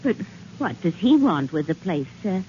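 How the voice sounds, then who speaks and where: A woman's voice: fairly low pitch, big pitch swings, normal speed, dark tone. An actress playing a timid, apologetic old woman housekeeper in a 1940s radio drama. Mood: meek, flustered. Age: older. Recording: noisy, muffled old 1940s radio recording.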